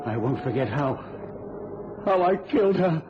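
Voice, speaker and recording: Casting: guilt-ridden, despairing wretch in a radio melodrama, animated pitch, muffled noisy recording. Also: male voice, a little slow. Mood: anguished, frantic. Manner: anguished, fatalistic, melodramatic. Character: haggard, tormented man haunted by guilt. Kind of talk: old-time radio thriller acting. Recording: noisy, band-limited old radio recording.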